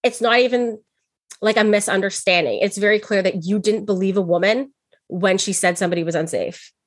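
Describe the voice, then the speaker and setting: A woman's voice: mid-range voice, fairly quick, no room sound. A young woman with a mid-range voice talks as an interview guest in a very dry recording. She is outspoken and blunt, chatting casually and candidly with some swearing. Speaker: podcast guest, business owner.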